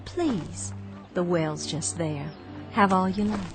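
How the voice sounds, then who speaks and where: A woman playing a gracious, quiet young woman on the porch of a war-ruined house, in a radio drama. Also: on the low side, slow pace, music in the background. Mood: gracious, mournful. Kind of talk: 1960s-style radio drama acting.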